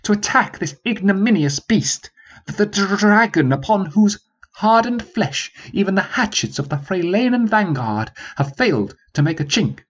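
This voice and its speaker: A man's voice: high voice, sing-song, normal speed, lo-fi sound, pitched above the performer's own voice. A man voicing a pompous, blustering army sergeant who stammers through grand speeches. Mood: rousing, pompous.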